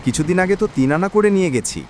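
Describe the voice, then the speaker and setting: A man voicing an animated, put-upon village husband. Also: high voice, very fast. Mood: surprised, questioning. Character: Ramu, poor village guard, worried, henpecked husband. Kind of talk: animated cartoon dialogue. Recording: faint background noise.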